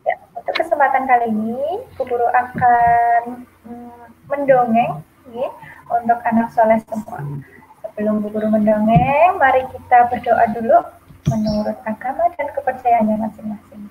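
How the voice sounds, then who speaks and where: A woman's voice: fairly high pitch, moderate pace, narrow, tinny audio, a little hiss or hum. A woman kindergarten teacher from Central Java, cheerfully opening an online lesson for young children. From Central Java, Indonesia. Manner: cheerful, addresses children directly. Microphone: video call.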